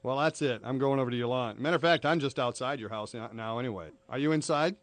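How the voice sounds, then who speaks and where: A man's voice: mid-range voice, fast talker, dry recording. American guy doing a radio prank call, matter-of-fact and pushy, really dry close studio sound. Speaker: radio morning show host making a prank call. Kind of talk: prank phone call, conversational.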